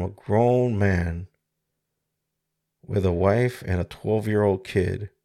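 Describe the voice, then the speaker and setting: Slow, gravelly-voiced man in his forties. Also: deep voice, darkish tone. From Dominican Republic. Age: 40s. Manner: halting and searching, with many pauses and restarts. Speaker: podcast host. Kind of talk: reflective solo podcast monologue. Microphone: studio microphone with mixer.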